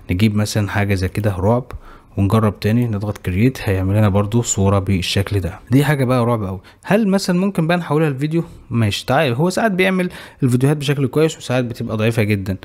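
A young Egyptian man rattles through a software walkthrough at a very fast clip, naming each button as he clicks it. His voice sits at a middle pitch, and his manner is brisk and explanatory, the manner of a tutorial host. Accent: Egyptian Arabic. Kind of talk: screen-recorded software tutorial.

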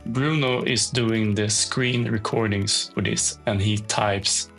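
A man, an engineer, giving a brisk scripted tip with a light teasing joke. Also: lowish voice, fairly even intonation, a bit bright, soft background music, poor audio. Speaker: iOS engineer.

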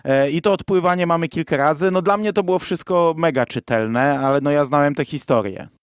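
A man's voice: a little high, brisk, clear, resonant tone, no echo, clean studio sound. A man co-hosting a podcast in a casual review discussion, hesitant and often correcting himself as he goes. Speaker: podcast co-host. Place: virtual studio, remote recording.

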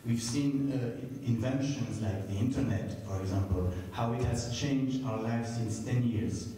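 A man's voice: mid-range voice, unhurried, mellow, distant mic. French-accented man in an echoey hall. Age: 50s. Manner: hesitant, many fillers, earnest. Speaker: moderator. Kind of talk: public stage conversation. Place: large theater stage. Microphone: handheld mic.

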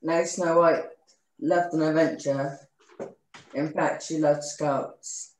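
A narrator reading rhymed pantomime narration haltingly, stumbling over and repeating words. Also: mid-range voice, steady tone, a little hiss or hum, low-quality recording.

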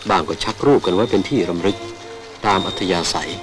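Low-voiced man narrating over music. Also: fairly quick. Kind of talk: scripted documentary narration and ceremonial recitation.

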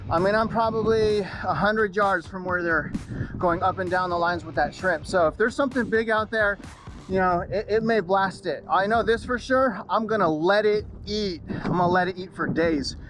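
Guy in his 40s, pretty high voice, casual outdoor vlog, talks to viewers and repeats stuff for emphasis. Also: normal speed, background noise. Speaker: fishing channel host. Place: outdoors on an open beach and in a kayak at sea.

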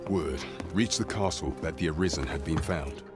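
A man playing a solemn, deferential royal informant in a fantasy game, giving measured, formal archaic exposition. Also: rumbling low. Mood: grave, confiding. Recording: music behind the voice.

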